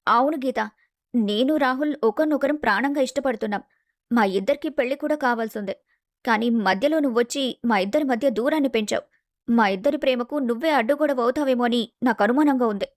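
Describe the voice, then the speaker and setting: Actress as scheming young female rival, jealous, defiant, high emphatic voice, dry recording, family story drama. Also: brisk, dry recording, close to an everyday voice. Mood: scheming, accusing.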